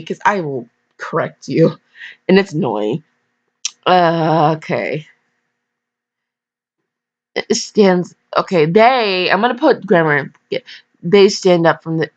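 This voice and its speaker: A woman casually reading fan fiction aloud with slangy, blunt, digressive commentary and mock scolding. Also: on the low side. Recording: dull, lo-fi home recording.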